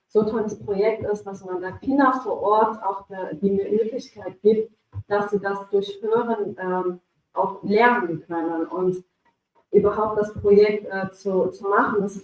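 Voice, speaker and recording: A woman's voice: mid-range voice, warm tone. A woman speaking enthusiastically at a live event with many fillers about voicing a children's story. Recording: echoey room, mic at a distance.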